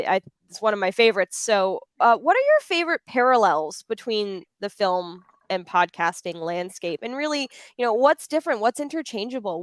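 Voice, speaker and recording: A woman's voice: on the high side, no room sound. A woman moderating a panel discussion in a conversational, unscripted way.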